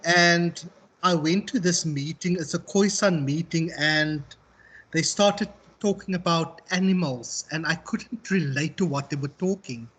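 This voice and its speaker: A South African man speaking as a university tutor in an informal online class, reflective and halting as he circles back to rephrase. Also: fairly high pitch, fairly bright tone, husky. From Western Cape, South Africa. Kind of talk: informal online lecture and discussion.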